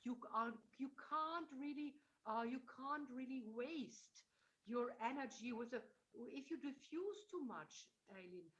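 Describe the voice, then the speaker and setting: A woman's voice: high-pitched, expressive, a little slow, dull audio. A middle-aged woman coaching a singer over a video call, sometimes singing phrases to show them and calling the singer "darling.". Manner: demonstrates phrases by singing, then explains warmly with terms like "darling". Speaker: voice teacher. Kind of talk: masterclass coaching.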